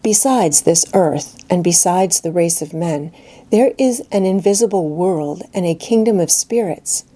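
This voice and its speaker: A woman voicing a calm, pious schoolgirl friend in a storybook reading, measured, earnest and gently reproving. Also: fairly low pitch. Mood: earnest, devout. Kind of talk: dramatised audiobook reading.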